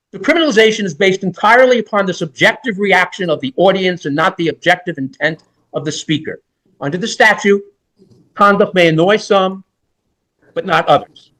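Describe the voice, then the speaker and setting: A man's voice: high voice, a bit bright, low-quality recording. A man in his sixties, a defense lawyer, making an oral argument in court in an emphatic, rhetorical and repetitive way.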